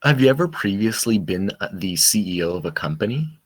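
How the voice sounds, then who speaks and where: A man's voice: fairly low pitch, very animated, fairly quick, breathy edge, no room sound. A man moderating a Q&A, reading out audience questions in a plain, matter-of-fact way.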